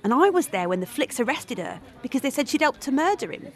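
A woman's voice: high-pitched, very animated, quick pace. A woman playing a brash, tough young woman who narrates, blunt and coarse-tongued. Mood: bitter. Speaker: narrator and character. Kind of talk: radio drama acting and narration.

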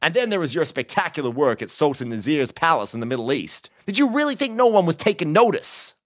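A man acts out a smug cowboy outlaw from an adventure audiobook. He teases and mocks in a drawl, with lively swoops of pitch and a close, dry sound. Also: a little fast, no echo. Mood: mocking. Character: smirking American cowboy outlaw in a cell, mocking, unhurried. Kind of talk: audiobook character acting.

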